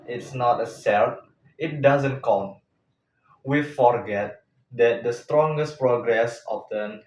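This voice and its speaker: Echoey, distant man giving a slow-paced speech. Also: medium pitch. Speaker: speech contestant. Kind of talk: prepared motivational speech in English. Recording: echoey room, distant mic, faint noise.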